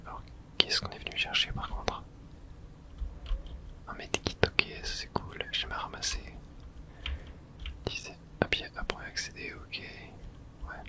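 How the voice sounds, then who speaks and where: A man's voice: a little slow, long pauses. Whispering man, ASMR gaming. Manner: murmured reactions to himself in short fragments. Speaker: video game streamer. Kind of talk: whispered ASMR gameplay commentary.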